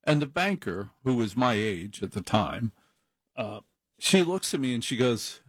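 Man, middle-aged, late-night radio host, rambling and chatty, dry close studio sound, medium pitch. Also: big pitch swings, a little slow, husky, no echo. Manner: rambling, chatty, self-deprecating. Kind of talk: casual monologue on call-in radio. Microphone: studio microphone.

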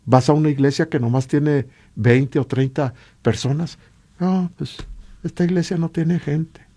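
A man with a Latin American Spanish accent and a husky voice, preaching informally and emphatically with repeated rhetorical questions. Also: fairly high pitch, fairly even intonation, a little slow, mellow. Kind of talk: informal exhortation sermon.

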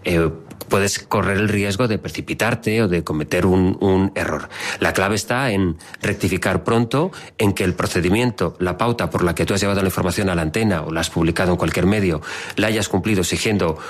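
A man's voice: low voice, a little fast. A man, a journalist and radio host whose first language is Catalan, speaking earnestly and reflectively in a podcast conversation, with frequent restarts.

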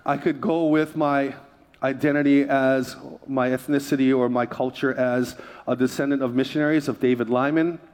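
A man's voice: medium pitch, fairly even intonation, normal speed, darkish tone. A man from Hawaii preaching a sermon as a pastor, emphatic, repeating phrases and prompting his listeners. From Hawaii, United States.

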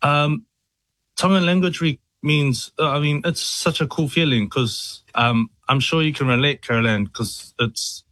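A man, a musician guest on a radio interview, relaxed and friendly with lots of ums and yeahs. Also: on the high side, a little slow, no echo. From New Zealand.

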